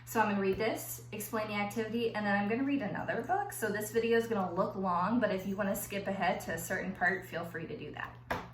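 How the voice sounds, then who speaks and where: A woman schoolteacher reading aloud to young children and explaining an activity in simple, clear phrases. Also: medium pitch, fast. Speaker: elementary school teacher. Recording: echoey room with faint background noise.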